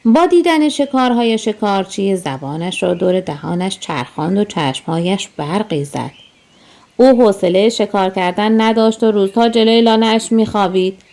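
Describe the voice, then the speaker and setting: A woman narrating a scripted children's story in an expressive, storytelling manner. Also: mid-range voice.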